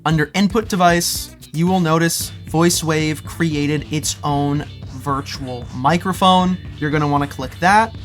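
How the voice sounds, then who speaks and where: Casting: female tutorial presenter, low voice, casual scripted read over soft music. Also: unhurried, hardly any pauses.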